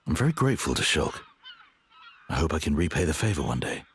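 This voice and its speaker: A man voicing a grave, honourable, regretful warrior in a video game, earnest and measured. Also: very deep voice, very animated, slightly rough, full character voice. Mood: reflective, earnest. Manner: earnest, measured, formal, brooding. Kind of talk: dramatic video game voice acting.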